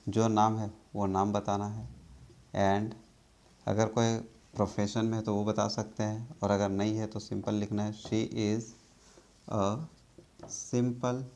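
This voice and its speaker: A man from India gives an English lesson at a very slow pace, stopping often to check that his learners follow. His voice sits fairly low and stays patient and steady. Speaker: English teacher.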